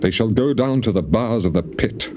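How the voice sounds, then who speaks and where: A man's voice: fairly low pitch, animated, normal speed, muffled recording, background noise, natural voice. An actor playing an anguished, afflicted man lamenting to God in a theatrical, declamatory scripture dramatization. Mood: despairing. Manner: declamatory, mournful, archaic phrasing.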